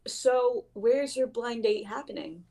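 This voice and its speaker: A woman's voice: a little high, slow pace, gravelly, warm tone, heavily acted. A woman playing a playful, teasing young woman in a casual dramatic reading. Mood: shy, amused. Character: playful, teasing young woman named Bellavia sharing a ride.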